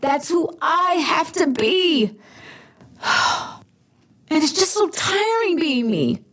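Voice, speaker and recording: Casting: actress as burdened young heroine, earnest and weary, high voice, very animated. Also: female voice, slow pace, full character voice. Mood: frustrated, weary. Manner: earnest, exasperated. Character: tense, self-burdened young female hero, weary perfectionist. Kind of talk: audio drama acting.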